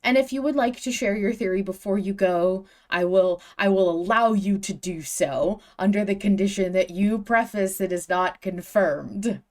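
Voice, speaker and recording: A woman's voice: mid-range voice, normal speed, hardly any pauses. A woman hosting a podcast, moderating a casual discussion and putting questions to others. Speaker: podcast host.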